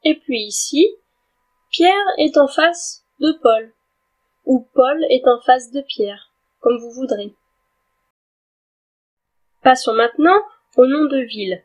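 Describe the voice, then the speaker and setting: A woman gives a grammar lesson at a slow, careful pace. Her voice sits a little high, and she speaks clearly and deliberately, spelling words out letter by letter. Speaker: French teacher.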